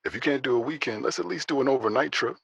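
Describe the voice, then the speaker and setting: A man's voice: medium pitch, quick pace, vocal fry, a bit bright, breathy edge, dry recording. A man acting in an audio drama as a persuasive, yearning secret lover, speaking in a coaxing, intimate way.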